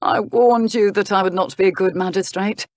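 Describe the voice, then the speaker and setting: A woman's voice: mid-range voice, moderate pace, a little mellow, no room sound, lightly acted. Easygoing period earl read by a woman narrator, wry and gossipy, a reluctant magistrate in a romance audiobook. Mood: amused. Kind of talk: historical romance audiobook character.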